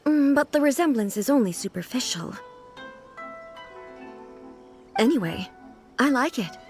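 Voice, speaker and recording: A regal anime-game shogun lady, composed n curious, kinda high voice, bit of music behind. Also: animated, a little mellow. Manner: composed, formal, measured. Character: poised, regal female shogun ruler, calm and curious. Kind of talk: English video game voice acting.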